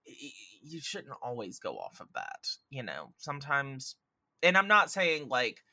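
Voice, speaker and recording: A man's voice: mid-range voice, huge pitch swings, unhurried, bright, forceful tone, dry recording. A man chatting casually as a podcast guest, opinionated and emphatic in the way he talks.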